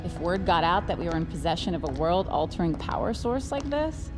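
Audio drama: controlling female science boss, lowish voice, guarded authority, music underneath. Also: very animated, fairly quick. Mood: proud secretive.

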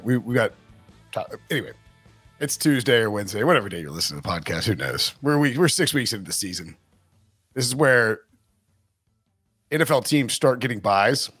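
A man's voice: medium pitch, a bit bright, husky. A man hosting a sports podcast in casual chat, rambling and interrupting himself, laughing often. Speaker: podcast host.

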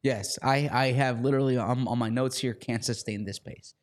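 A man co-hosting a sports podcast, talking casually in a slightly hoarse voice and often repeating words as he starts a thought. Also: medium pitch, fairly even intonation, normal speed. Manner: chatty, repeats words when starting sentences. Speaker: podcast co-host.

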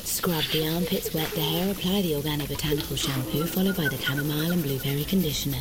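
A woman voicing a calm, controlling female AI assistant that gives precise, soothing commands in an audio drama. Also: deep voice, fast talker, a loud crowd, close to an everyday voice, poor audio. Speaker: narrator and AI voice.

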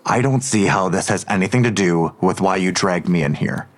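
An actor playing a sardonic, defiant police detective in an audio drama, answering curtly and dryly. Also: male voice, sits low, normal speed, natural voice. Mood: guarded, defiant. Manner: curt, dry, evasive.

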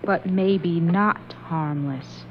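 A woman's voice: fairly low pitch, extremely slow. An actress playing a wary, insistent young woman in a radio drama, questioning the police. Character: anxious but insistent young woman, Miss Sims. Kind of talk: 1970s radio drama acting. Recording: dull old radio broadcast recording, faint hiss.

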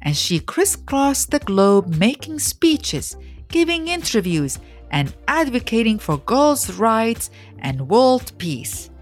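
A woman reading a scripted storybook biography as narrator, in a measured, expressive way with dramatic pauses. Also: fairly high pitch, soft background music.

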